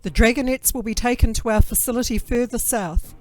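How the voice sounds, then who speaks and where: A woman's voice: a little high, brisk, faint music behind, lightly acted. A woman playing a stern, cruel dragon mother and military commander in an audio drama, speaking with cold, imperious contempt. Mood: commanding.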